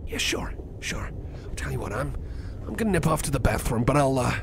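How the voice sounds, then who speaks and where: Male actor as shy, flustered cyborg love interest for ASMR roleplay. Stammering, creaky delivery over clear train noise. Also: expressive, fairly quick. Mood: happy, flustered. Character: bashful, kind male cyborg friend nervously confessing a crush. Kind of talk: ASMR roleplay, spoken to the listener. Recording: clear background vehicle rumble noise. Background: train ride ambience.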